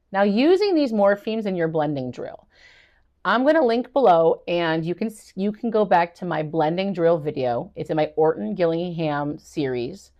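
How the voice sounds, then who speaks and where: Middle-aged woman reading tutor, low and gravelly, encouraging instructional delivery. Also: darkish tone. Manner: encouraging, acts out student exchanges. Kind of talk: instructional talk to parents and teachers.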